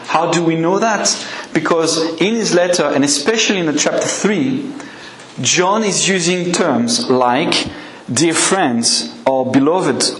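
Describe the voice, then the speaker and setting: A man's voice: on the high side, echoey room, slight background noise, low-quality recording. A man whose first language is French, speaking English with a French accent as a guest preacher, giving a conversational sermon with questions to the congregation. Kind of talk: evening sermon with a live congregation.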